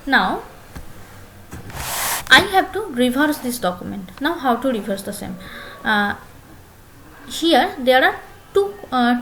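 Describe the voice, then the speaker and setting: A woman giving a spoken screen-share software tutorial as instructor, phrasing haltingly with many filler pauses and repeating phrases step by step. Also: high voice, a little hiss or hum, low-quality recording.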